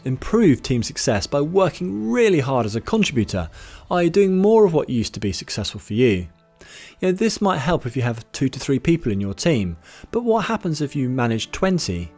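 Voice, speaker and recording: A British man in his thirties delivers a scripted training talk to camera, his voice lively and rising and falling. He speaks close to a studio mic in a measured, instructive way. Soft music plays beneath him. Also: mid-range voice. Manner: measured, instructive, lists points in order. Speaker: management trainer. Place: studio with plain grey backdrop. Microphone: studio mic on boom arm in front.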